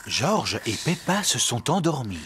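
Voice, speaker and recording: Calm cartoon narrator, very low yet swooping, loud jingly music underneath. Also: female voice, measured pace, slight background noise, full character voice.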